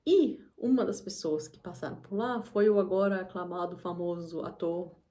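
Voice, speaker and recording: A woman's voice: lowish voice, normal speed, mellow. A woman, talking casually to camera as the host of her channel, rambling with many restarts and asides. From Brazil. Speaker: English teacher and channel host.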